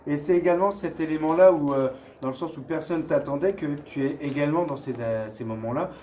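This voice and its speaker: Higher-pitched man hosting an interview. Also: a little fast, dark tone. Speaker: interviewer, host. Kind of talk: casual interview. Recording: faint background ambient noise.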